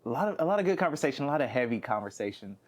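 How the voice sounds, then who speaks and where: A man's voice: medium pitch, sing-song, very fast. A young man co-hosting a podcast, chatting casually, swearing freely and goofing around with frequent laughter. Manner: profane, goofy, laughs often. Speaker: podcast co-host.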